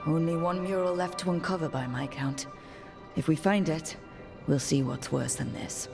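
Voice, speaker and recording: Earnest, resolute fantasy adventurer. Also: female voice, low voice, moderate pace, gravelly, music in the background. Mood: serious. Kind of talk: video game dialogue.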